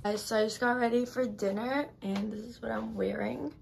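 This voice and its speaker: A young woman with a hoarse voice from being sick, chatting to her phone camera in a hotel room in a bubbly, gushing way. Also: fairly high pitch, steady tone, normal speed, a little mellow, slight background noise, poor audio. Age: young adult. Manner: bubbly, gushing, talks to viewers as guys. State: hoarse, lost voice from illness. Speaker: travel vlogger. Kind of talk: casual vlog chat to camera. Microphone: handheld phone camera at arm's length.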